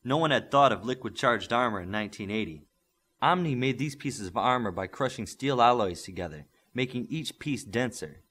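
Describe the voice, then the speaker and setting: Male audiobook narrator with lively pitch. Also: normal speed. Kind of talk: scripted fiction narration.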